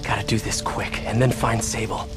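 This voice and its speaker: A man's voice: fairly low pitch, fairly even intonation, moderate pace, over loud music, lightly acted. An actor playing a young, wisecracking male superhero muttering to himself in a video game. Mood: wry, focused.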